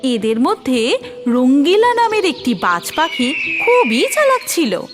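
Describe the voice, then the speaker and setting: A woman narrating a scripted fairy tale as a cartoon storyteller. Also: high-pitched. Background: music.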